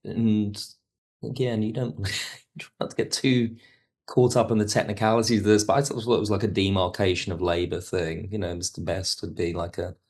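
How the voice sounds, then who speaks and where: A man's voice: on the low side, little pitch movement, moderate pace, darkish tone. A man talking as a podcast guest in a casual discussion, discursive and often correcting himself. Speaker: podcast guest, audio drama writer.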